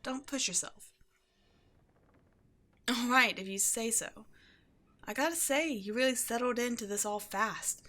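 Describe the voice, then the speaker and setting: A woman's voice: fairly high pitch, measured pace, long pauses, bright, forceful tone. A woman acts out an upbeat, quirky keeper of a mysterious occult shop. She chats in a breezy, casual way, as if to a new employee in an ASMR roleplay. Eerie matters get the same offhand, friendly treatment as everyday ones. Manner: chatty, breezy, offhand about eerie things. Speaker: shop owner character speaking to a new employee. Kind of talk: ASMR roleplay, casual one-sided conversation.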